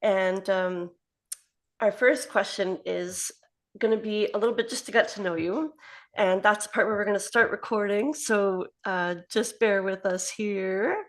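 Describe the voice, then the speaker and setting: A woman in her forties hosts an interview over a laptop webcam from her home office. Her voice is mid-pitched and friendly, with frequent light laughter. She fires off quick questions. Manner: friendly, laughs often, asks rapid-fire questions. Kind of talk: video call interview. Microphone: laptop webcam on video call.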